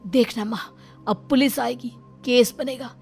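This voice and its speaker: A woman's voice: on the high side, steady tone, a little slow, gravelly, darkish tone, breathy edge, heavily acted. A woman voicing a protective, indignant husband and son, forthright and reproachful, in a cartoon story. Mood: accusing. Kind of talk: cartoon moral story dialogue. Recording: music under the voice.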